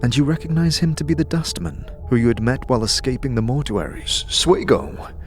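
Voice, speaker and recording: A man narrating a scripted second-person fantasy story as an atmospheric, measured storyteller. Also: medium pitch, a little mellow, faint music behind.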